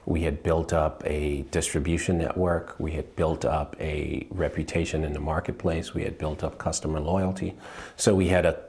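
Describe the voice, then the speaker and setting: A man in his forties from Liberia, giving measured interview answers as a company co-founder and repeating phrases for emphasis. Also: very deep voice, level tone, creaky, darkish tone. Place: indoors, shop with product shelves.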